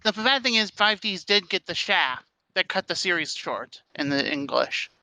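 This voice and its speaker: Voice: medium pitch, lively intonation, moderate pace, sharp tone, no room sound. A podcast participant chatting casually with friends over online voice chat.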